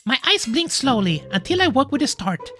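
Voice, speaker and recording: A woman's voice: high-pitched, big pitch swings, fairly quick, a bit bright. A woman voicing an anxious, easily flustered animal dad, telling the story in first person with a hesitant, emotive delivery. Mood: narrating. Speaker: narrator. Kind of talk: dramatic first-person visual novel reading.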